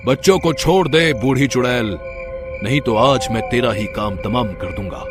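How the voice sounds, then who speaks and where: An actor playing a stern, protective old forest mystic who speaks in a commanding, dramatic way in a horror story. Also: male voice, medium pitch, normal speed, music in the background, lightly acted.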